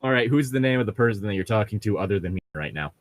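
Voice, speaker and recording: A man's voice: on the low side, expressive, rapid-fire. A young man chatting casually and reading aloud, with sarcastic, exasperated, swear-heavy commentary. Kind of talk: casual chat with mocking read-aloud commentary.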